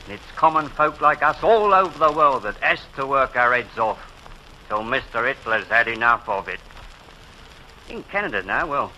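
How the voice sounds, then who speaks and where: Sharp-voiced plucky English grocer. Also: male voice, mid-range voice, a little hiss or hum, low-quality recording. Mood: urgent, rousing. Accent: English working-class. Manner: folksy, earnest, colloquial. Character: humble, plucky village grocer and air raid warden. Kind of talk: 1940s radio acting.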